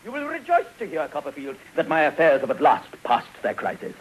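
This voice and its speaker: A man playing a grandiloquent, down-at-heel middle-aged gentleman debtor in a florid, pompous 1950s radio drama style. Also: on the high side, wildly swooping pitch, brisk, breathy edge, heavily acted, low-quality recording. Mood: grandly optimistic. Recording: hissy old radio broadcast.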